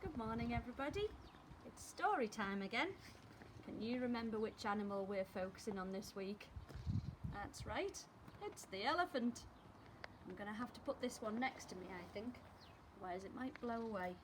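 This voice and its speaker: A woman teacher reading a story aloud to young children, expressive and speaking directly to them. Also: on the high side, normal speed. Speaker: primary school teacher reading stories. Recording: clearly noisy background.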